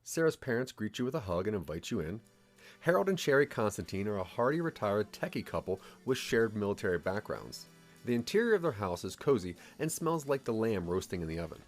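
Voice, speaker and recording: Low-pitched male audio drama narrator. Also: sing-song, a little fast, faint music behind. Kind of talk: scripted second-person audio drama narration.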